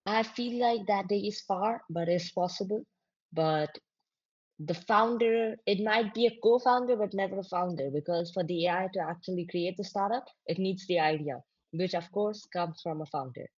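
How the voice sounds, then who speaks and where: A woman's voice: mid-range voice, moderate pace, a little mellow, dry recording. A woman founder answering interview questions in a conversational way as a guest over an online call. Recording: slightly dull online-call audio.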